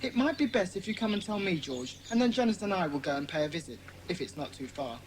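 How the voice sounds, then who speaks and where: A woman's voice: on the low side, lively intonation, fast, noisy background, close to an everyday voice. A woman acting a bossy, sensible schoolboy club leader in a dramatised children's audiobook. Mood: decisive. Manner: commanding, sensible.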